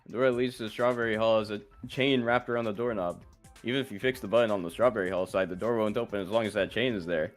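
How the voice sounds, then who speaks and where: A man's voice: lowish voice, steady tone, fast talker. A man playing a game in a casual, informal way, sounding a little puzzled. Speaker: game stream co-player. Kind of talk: casual chat and reading game dialogue aloud. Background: game music.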